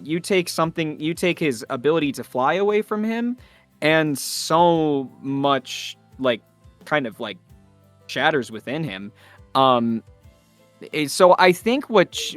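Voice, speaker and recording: A man's voice: medium pitch, a bit bright, no room sound. A man giving thoughtful, earnest interview answers in a conversational way, stressing his key words. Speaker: voice actor being interviewed.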